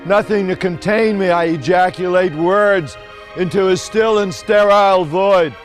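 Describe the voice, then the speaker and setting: A man's voice: high voice, unhurried, faint music behind. Theatrical man in his sixties declaiming. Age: 60s. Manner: theatrical, declamatory, swinging from hushed musing to shouting. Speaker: street poet. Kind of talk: poetic street monologue. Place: outdoors on city streets.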